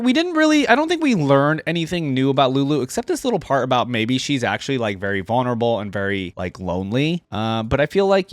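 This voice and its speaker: A man's voice: medium pitch, no echo, clean studio sound. A man hosting a reaction video, casually reading out lore and thinking aloud about it.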